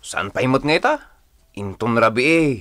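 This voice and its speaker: A man's voice: medium pitch, lively intonation, normal speed, a bit bright, no room sound, lightly acted. A man playing a coaxing young buddy in a radio drama, with an Ilocano accent. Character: coaxing young male buddy urging a night out.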